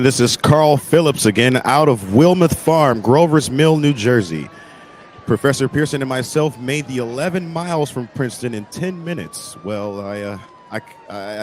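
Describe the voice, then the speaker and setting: A man's voice: medium pitch, a little hiss or hum, lightly acted. An actor playing a flustered male radio reporter on the scene, stammering and repeating words in an old-time radio drama. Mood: urgent.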